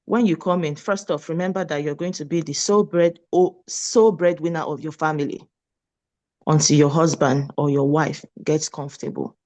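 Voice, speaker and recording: A Nigerian woman in her late thirties, a nurse, talking with a lively, preachy energy and repeating her points in a casual interview. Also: deep voice. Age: 30s. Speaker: nurse and guest.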